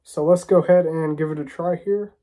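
A man's voice: fairly high pitch, steady tone, brisk, dark tone. A young American man with a stuffy, congested voice from a cold, chatting casually to camera as a reviewer in a small room. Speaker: vlogger reviewing drinks.

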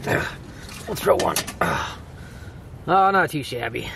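A man's voice: mid-range voice, wildly swooping pitch, slow pace, vocal fry. A man in his thirties vlogging while camping in a tent in the woods at night, exclaiming and joking in a casual way. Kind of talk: casual solo vlog narration. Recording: wind and outdoor noise behind.

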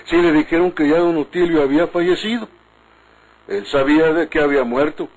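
An actor playing an exasperated, defensive village official in a Mexican radio drama, with a husky voice and Mexican accent. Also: male voice, on the high side, a little fast, low-quality recording. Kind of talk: vintage Mexican radionovela acting.

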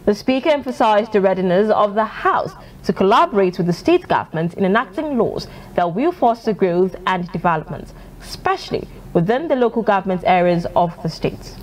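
A woman's voice: mid-range voice, expressive, a little fast. A woman with a Nigerian accent reading scripted news as a television anchor. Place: TV news studio.

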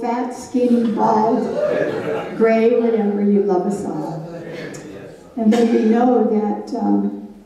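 A woman's voice: a little high, dark tone. An older woman leading a church Bible study, folksy and conversational, laughing at her own stories, speaking into a handheld microphone on a stage. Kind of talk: Bible study teaching with anecdotes. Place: church hall stage with projector screen. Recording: echoey hall, from far off.

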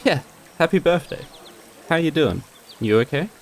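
A man's voice: a little high, lively intonation, normal speed, slight background noise. A man playing a cocky young rival in a roleplay audio drama, casual and bickering. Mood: friendly.

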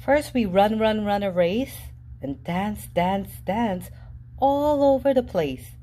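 A woman reading a children's picture book aloud in a lively, sing-song storybook voice with playful jumps in pitch. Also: slow pace. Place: indoors at a table, reading an open book. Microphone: camera above the book, reader unseen.

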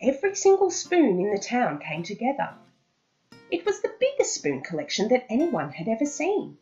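A woman reading a children's storybook aloud with expressive, dramatic emphasis, in a slightly muffled recording. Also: medium pitch.

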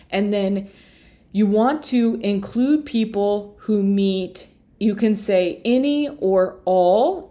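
A young woman walking viewers through a screen-share software tutorial in a relaxed, explanatory way, with fillers and self-corrections, recorded on a webcam in a small room. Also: mid-range voice. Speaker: marketing tutor.

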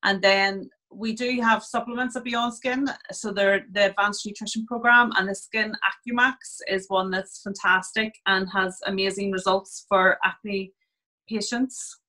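Bright-voiced woman explaining skincare over video call. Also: mid-range voice, fairly even intonation, a little slow, low-quality recording. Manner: chatty, many fillers, explains step by step. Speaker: skin clinic therapist. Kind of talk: casual explanatory chat.

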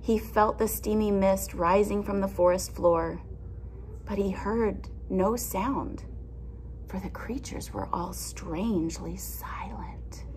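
A woman in her thirties, a teacher reading a picture book aloud to young children, expressively and with simple explanations and questions. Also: mid-range voice. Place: indoor classroom with alphabet wall displays. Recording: clear background noise.

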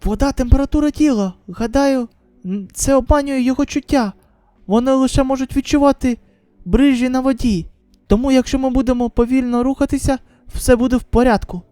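A woman voicing a cautious, observant survivor who explains haltingly in a manga dub. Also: high-pitched, warm tone, dry recording, close to an everyday voice. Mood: tense, careful.

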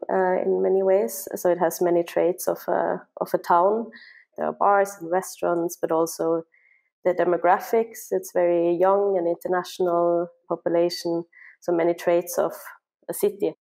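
A woman from Norway speaks in a low, gravelly voice. She answers interview questions thoughtfully, with frequent fillers and restarts. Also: fairly even intonation, moderate pace, dark tone. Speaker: social anthropologist guest.

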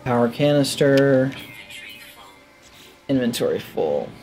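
A man's voice: medium pitch, big pitch swings, slow pace, a little mellow, soft background music, rough recording. A man giving casual, offhand gameplay commentary, musing aloud to himself into a headset mic. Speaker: gaming let's player. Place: indoors at a desk.

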